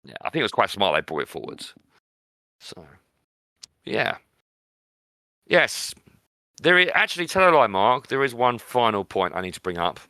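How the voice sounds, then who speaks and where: A man's voice: lowish voice, sing-song, normal speed, pauses often, bright, forceful tone, no room sound. A British man co-hosting a podcast, chatting casually about films in a blunt, opinionated, sweary way. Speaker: podcast co-host. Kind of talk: casual film discussion.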